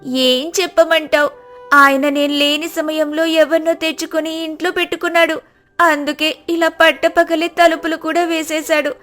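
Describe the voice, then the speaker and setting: A woman voicing a naive, melodramatic village wife who laments loudly in a children's moral story. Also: sits high, level tone, moderate pace, soft background music, voice pushed up. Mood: aggrieved. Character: foolish wailing village wife, naive and dramatic.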